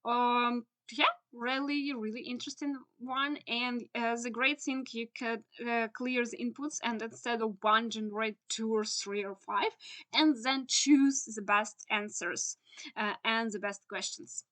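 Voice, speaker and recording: A young woman with a Ukrainian accent, a native Ukrainian speaker, explains a screen-share tutorial in English. Her voice sits fairly high and sounds bright and crisp. She pauses often on "uh" and phrases things in halting, non-native English. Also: steady tone. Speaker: SEO instructor.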